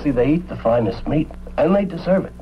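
Sorry middle-aged american crook, done by an actor, halting plain talk, dull old broadcast w/ slight hiss. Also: male voice, a little high, animated, lightly acted. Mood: apologetic, earnest. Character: remorseful, humble ex-serviceman thief devoted to his dogs.

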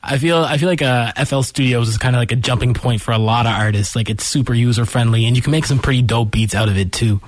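A young male host with an easygoing, casual manner who keeps the talk moving with short affirmations. His voice sits in a middle pitch. The sound is dry and close. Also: no echo. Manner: easygoing, quick to affirm with "dope" and "mm-hmm". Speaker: interview host. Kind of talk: casual interview questions.

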